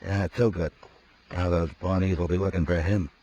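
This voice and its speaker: A deep-voiced steady frontier marshal. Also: male voice. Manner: calm, measured, deliberate. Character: steady, level-headed frontier marshal, grown man. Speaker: lawman lead and narrator. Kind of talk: 1950s radio drama acting. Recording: muffled old radio recording with faint hiss.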